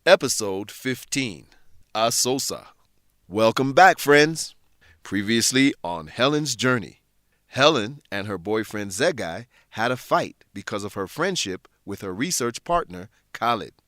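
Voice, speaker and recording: A man's voice: lowish voice, animated, a little slow, a bit bright, dry recording, clean studio sound. A man hosting and narrating an educational radio drama in a scripted style for language learners.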